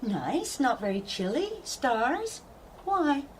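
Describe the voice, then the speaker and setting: A woman playing a brisk, wry wife in a radio drama. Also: high voice, very animated, heavily acted. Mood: casual. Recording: very noisy radio drama broadcast.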